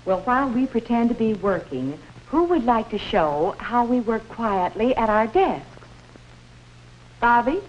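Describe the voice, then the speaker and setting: Animated woman schoolteacher on old film soundtrack. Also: on the high side, a little slow. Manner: instructive, prompting pupils with questions. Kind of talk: scripted classroom instruction in 1950s film. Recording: muffled, noisy 1950s film soundtrack.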